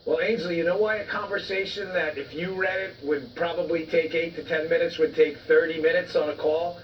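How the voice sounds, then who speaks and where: High-voiced, emphatic man, muffled sound. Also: steady tone, fairly quick. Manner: emphatic, argumentative. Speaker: political commentator, guest. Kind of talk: live news interview. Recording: muffled, distant-sounding, faint background noise.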